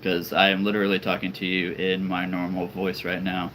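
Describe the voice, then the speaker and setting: A man with a very deep voice, chatting casually and rambling on a live stream as a toy-collecting channel host. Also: completely flat delivery, a little fast, a bit bright, a little hiss or hum. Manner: chatty and rambling, laughs often.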